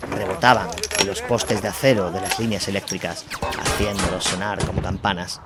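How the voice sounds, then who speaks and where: A man delivering a scripted war chronicle as a narrator, in a measured storytelling manner. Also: low-pitched, steady tone. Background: music behind the voice.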